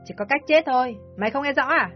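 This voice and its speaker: A woman's voice: on the high side, normal speed, pitched above the performer's own voice, lightly acted. A woman voicing a cruel, jealous woman kidnapper who speaks harshly and with contempt. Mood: menacing. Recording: dull lo-fi audio with soft music.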